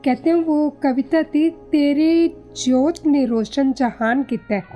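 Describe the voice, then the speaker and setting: A woman's voice: high-pitched, slow pace, warm tone, soft background music. A woman reminiscing as a guest in a conversational interview.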